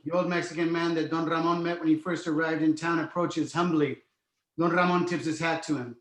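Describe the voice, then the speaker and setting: A man narrates a screenplay, reading out the stage directions. His voice sits a little high, and the room adds a clear echo. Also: steady tone, brisk, a bit bright. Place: indoor room on virtual call. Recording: echoey room.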